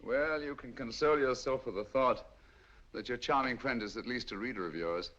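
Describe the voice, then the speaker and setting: An actor playing a calm, shrewd master detective in an old radio drama, dry and gently mocking. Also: male voice, medium pitch, normal speed, a little hiss or hum, close to an everyday voice. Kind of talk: 1940s radio acting. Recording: muffled old radio recording.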